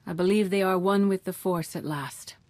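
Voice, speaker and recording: A woman playing a serene, solemn elder Jedi mother in a video game cutscene, speaking in a measured, gently admonishing way. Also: lowish voice, no room sound, lightly acted. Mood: solemn relief.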